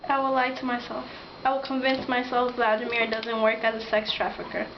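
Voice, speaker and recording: Flat, high-voiced confessing wife, acted by a woman. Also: fairly quick, natural voice. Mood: resigned, confessional. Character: trafficker's wife, resigned, confessional. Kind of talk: scripted student-drama monologue. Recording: dull, noisy lo-fi recording.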